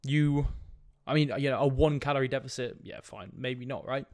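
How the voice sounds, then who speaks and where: A man's voice: medium pitch, little pitch movement, normal speed, no room sound. Sniffly young man, dry close sound. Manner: direct, casual, swears, frequent "right?" asides. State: sniffly with a cold. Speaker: fitness coach podcast host. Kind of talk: casual solo podcast talk.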